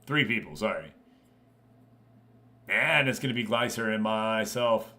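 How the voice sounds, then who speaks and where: A man's voice: lowish voice, animated, measured pace, gravelly, bright, forceful tone. A man hosting a live game stream, giving casual commentary and chatting informally with viewers. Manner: informal, conversational, addressing viewers directly.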